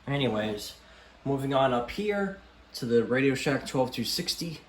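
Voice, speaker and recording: A man talking casually off the cuff in a halting, offhand way, showing items from a collection. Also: mid-range voice, lively intonation. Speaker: vlogger showing a radio collection. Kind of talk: casual unscripted product show-and-tell. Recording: echoey room, background noise.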